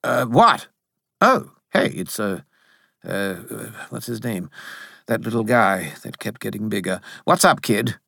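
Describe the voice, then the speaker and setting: A man's voice: on the low side, animated, a little slow, gravelly, sharp tone, no echo, clean studio sound, heavily acted. A man voicing a smug, put-upon castle spirit who talks in a casual, dismissive, superior way for a storybook. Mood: distracted.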